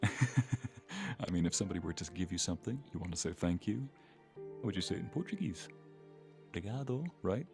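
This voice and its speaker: A man with a deep voice talks casually as on a livestream, easygoing and agreeable. His phrasing is a little hesitant, and music plays clearly behind him. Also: animated, a little fast, pauses often. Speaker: ASMR voice actor, guest.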